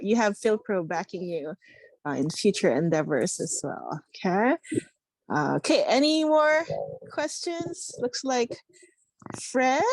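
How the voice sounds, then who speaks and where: A woman's voice: mid-range voice, a little mellow, no echo, low-quality recording. A woman hosting an online community meeting, loose and chatty. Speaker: meeting host.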